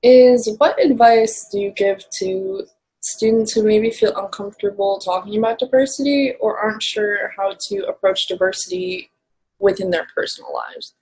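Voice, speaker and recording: A woman with a slightly breathy, husky voice at a middle pitch hosts a podcast interview. She encourages her guest and asks her questions plainly. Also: moderate pace, darkish tone, poor audio.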